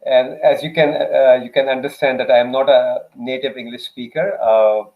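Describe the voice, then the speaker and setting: A man's voice: medium pitch, steady tone, normal speed. Man with Urdu native tongue on a tinny line. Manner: hesitant, many fillers and restarts. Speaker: guest. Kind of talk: casual interview talk.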